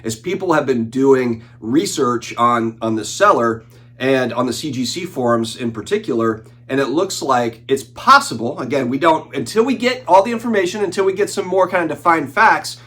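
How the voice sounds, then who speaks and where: A man's voice: medium pitch, animated, normal speed, a bit bright. Sick, slightly nasal man in his forties. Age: 40s. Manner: conversational, frequent fillers and restarts. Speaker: collectibles channel host. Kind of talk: casual talking-head commentary.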